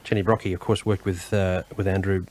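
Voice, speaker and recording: A man's voice: sits low, level tone, a little fast, a little mellow. An Australian man presenting live radio interviews in a hesitant, conversational way. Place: radio studio.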